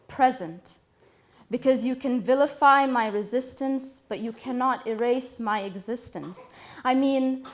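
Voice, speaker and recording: High-voiced woman performing spoken word. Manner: impassioned, rhythmic, emphatic delivery.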